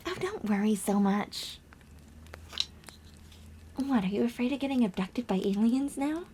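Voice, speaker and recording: A woman playing a gentle young girl on a camping trip in an ASMR roleplay, speaking softly and breathily with hushed, dramatic pauses. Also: fairly high pitch, expressive, noisy background. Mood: soft, teasing. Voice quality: soft, breathy whisper. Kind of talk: ASMR roleplay, soft whispered storytelling.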